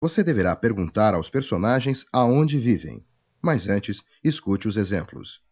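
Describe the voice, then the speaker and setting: A man reads course instructions in a calm, instructive tone. His voice sits low, but his pitch moves freely. The recording is dull and lo-fi, like an old tape. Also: normal speed. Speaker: course narrator. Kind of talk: scripted instructions.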